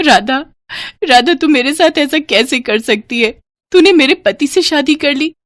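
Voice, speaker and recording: A woman voicing a gentle, long-suffering young daughter-in-law in a cartoon story, in a plaintive, emotive way. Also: moderate pace, dry recording, studio quality, lightly acted. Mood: distraught. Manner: plaintive, emotive, raised pitch.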